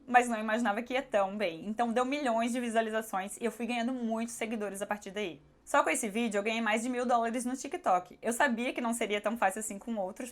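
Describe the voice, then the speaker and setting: A hoarse woman with a Brazilian accent, talking candidly and conversationally as a YouTuber answering viewer questions. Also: a little high. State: hoarse, recovering her voice. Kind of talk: casual question-and-answer vlog.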